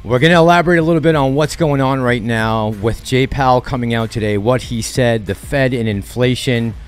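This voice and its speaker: A man's voice: medium pitch, a little fast, smooth. A man in his thirties hosting a stock-market commentary vlog, heated and sarcastic, speaking on a headset mic in a small home studio. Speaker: channel host. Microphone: headset with boom mic.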